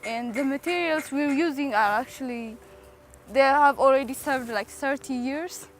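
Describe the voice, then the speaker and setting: A woman's voice: sits high, moderate pace, soft background music. A woman workshop participant talking enthusiastically in a casual interview.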